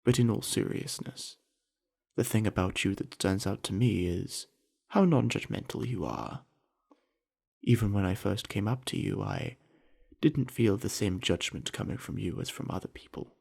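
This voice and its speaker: Guy doing a big friendly orc jock for asmr, deep n husky, easygoing himbo, bit flirty. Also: moderate pace, darkish tone. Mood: flirty, tender. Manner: laid-back, easygoing himbo with casual slang. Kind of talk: ASMR roleplay acting, addressed to the listener.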